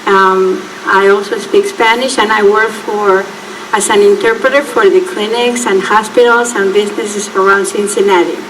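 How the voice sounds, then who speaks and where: A woman from Argentina who works as an interpreter, introducing herself calmly. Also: mid-range voice, moderate pace. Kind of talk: panel introduction. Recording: distant mic, noisy room.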